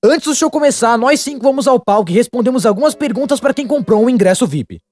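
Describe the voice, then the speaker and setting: Man voicing an excitable high-pitched Brazilian cartoon storyteller. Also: fast talker. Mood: chatty. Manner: chatty, animated, comedic. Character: young male cartoon storyteller, excitable and wry. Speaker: narrator. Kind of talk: animated storytime narration, dubbed.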